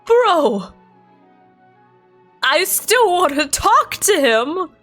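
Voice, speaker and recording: A young woman streamer reacting live to a game, thinking aloud, excitable, swearing and laughing. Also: extremely high pitch, drawn-out words, faint music behind.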